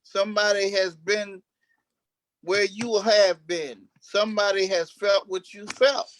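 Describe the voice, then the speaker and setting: A man's voice: sits high, flat delivery, fairly bright tone, slight background noise, low-quality recording. A man speaking off the cuff as a cast member in a panel discussion, emphatic, often repeating and restarting his phrases. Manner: emphatic, repetitive, halting restarts. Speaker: stage play cast member.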